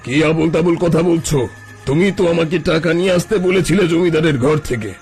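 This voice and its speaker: A man's voice: a little high, normal speed, darkish tone, close to an everyday voice. An actor voicing a sly, self-important fake holy man in a cartoon story. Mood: flustered, evasive. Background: background music.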